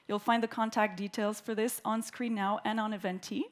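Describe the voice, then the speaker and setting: A woman from Canada giving the formal welcome speech at a conference, clear and orderly as she reads prepared remarks into a stage microphone. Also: mid-range voice, level tone, brisk. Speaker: event host, opening speaker. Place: conference hall.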